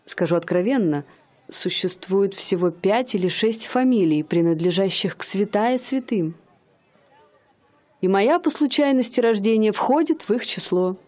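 A woman's voice: mid-range voice, lively intonation, unhurried, a little mellow. An actress playing a young woman who poses as a bored rich lady in a radio play, speaking in a haughty, mannered way. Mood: languid. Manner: haughty, mannered, languid speech.

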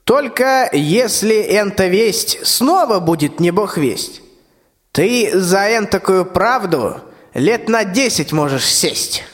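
Old tsar played by a man: high-pitched, imperious, folksy verse, long hall reverb. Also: animated, slow pace. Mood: imperious, wary. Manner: commanding, folksy rhymed speech. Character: vain, bossy, balding very old tsar. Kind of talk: stage-like rhymed radio play.

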